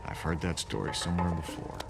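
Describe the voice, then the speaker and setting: An actor playing a grave, sardonic investigator in a crime video game, speaking in a brooding, deliberate way. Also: male voice, rumbling low, lively intonation, vocal fry, full character voice. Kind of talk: cinematic video game acting. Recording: loud music behind the voice.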